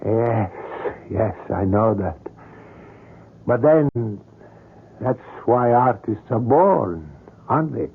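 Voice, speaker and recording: A man's voice: medium pitch, animated, takes it slowly, long pauses, slightly rough, full character voice. An actor playing an older, courteous and obsessive male sculptor in a radio drama, with formal, florid and precise phrasing. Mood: fervent, wistful. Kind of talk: old-time radio drama acting. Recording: muffled vintage radio drama recording.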